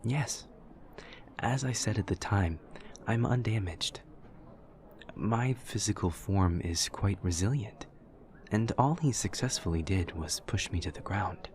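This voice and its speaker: A man playing an awkward, earnest young demon student in an audio roleplay, speaking softly in stiff, precise, literal sentences. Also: fairly low pitch, lively intonation. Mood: calm, matter-of-fact. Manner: stiff, precise, literal, measured formal diction. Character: awkward, formal, earnest male demon student with horns and tail. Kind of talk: audio roleplay, scripted monologue to a listener.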